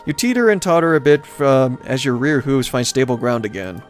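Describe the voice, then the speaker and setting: A man narrates a visual novel in a casual let's play style. His mid-pitched voice moves around a lot, with halting, bemused comments dropped in. Faint music plays behind him. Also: animated. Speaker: let's player reading a visual novel aloud.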